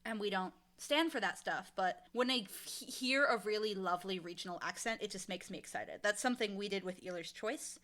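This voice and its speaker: A woman speaking casually as an interview guest, animated and emphatic, with a playfully blunt way of talking. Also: mid-range voice. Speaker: interview guest, audio drama creator and casting director.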